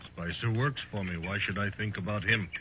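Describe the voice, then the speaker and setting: A low-voiced, embittered war leader, played by a male radio actor, speaks with grave, formal deliberation and fatalistic pride. It is 1950s radio western acting, muffled and thick with background noise. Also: close to an everyday voice. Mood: cold, deliberate. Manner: formal, measured speech without contractions.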